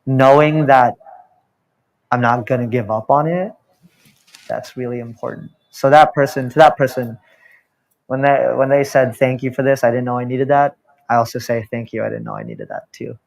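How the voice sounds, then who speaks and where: A man's voice: mid-range voice, steady tone, normal speed, vocal fry, rough recording. A young man on a panel, a drag performer telling a personal story in a reflective, hesitant way. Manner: reflective, hesitant, many fillers and restarts.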